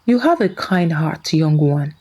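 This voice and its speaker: A woman's voice: low-pitched, animated, measured pace, dark tone, breathy edge, voice pushed down, heavily acted. A woman voicing a kind, solemn and peaceful river spirit in a folktale. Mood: gentle solemnity.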